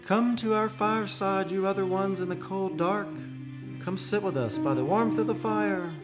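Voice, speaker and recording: A man playing a wistful, dreamy photographer narrating an eerie seaside tale in a measured, poetic radio drama style. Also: high-pitched, over music, lightly acted. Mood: yearning. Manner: measured, poetic, solemn delivery. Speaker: actor, narrator.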